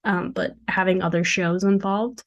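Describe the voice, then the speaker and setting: A young woman with a hoarse voice, hosting her own podcast in casual, chatty solo talk from her dorm room, with self-deprecating jokes. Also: mid-range voice, steady tone, normal speed, dull audio. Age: young adult. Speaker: podcast host and founder. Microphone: desk mic at a computer.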